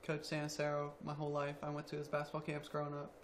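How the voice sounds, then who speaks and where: A young man around twenty, a college student athlete, answering interview questions quietly with many ums. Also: on the high side, completely flat delivery, quick pace, slight background noise. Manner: quiet, soft-spoken, hesitant with ums.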